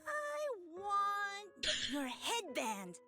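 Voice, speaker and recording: A small boy from a video game, high-pitched and very lively. He sounds childlike, eager and pleading, with music clearly playing behind him. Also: extremely slow, full character voice. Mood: hopeful pleading. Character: small, eager, obedient young boy wanting a prize.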